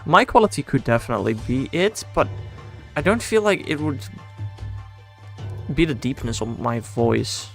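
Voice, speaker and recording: A man with a Dutch accent talks through a live stream, his voice pitched in the ordinary middle range with a rough, fry-edged texture. He thinks aloud and rambles, often restarting his sentences and poking fun at himself. Soft music plays faintly underneath. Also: big pitch swings, moderate pace. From Netherlands. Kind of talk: casual live-stream chat.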